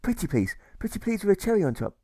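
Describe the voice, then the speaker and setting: A man voicing a gentle, friendly prisoner in an audiobook, speaking in a kindly, coaxing, persistently cheerful way. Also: high-pitched, sing-song, fast talker, no echo, studio quality, pitched above the performer's own voice, heavily acted. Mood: gently pleading. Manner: pleading, kindly, repeats words.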